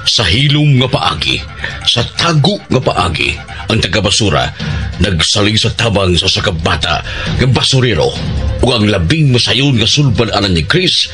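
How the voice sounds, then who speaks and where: A man's voice: lowish voice, very animated, normal speed, pushed and bright. A man with a Filipino accent delivering scripted radio drama narration in a solemn, dramatic manner. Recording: radio narration with music behind.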